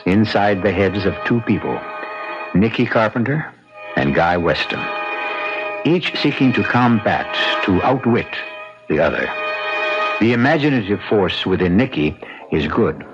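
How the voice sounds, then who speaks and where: Low-voiced, portentous man hosting radio mystery. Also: lively intonation. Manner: portentous, measured, pausing for effect. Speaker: host and narrator of a radio mystery series. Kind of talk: dramatic scripted radio narration. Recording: dull, lo-fi radio broadcast recording. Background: music.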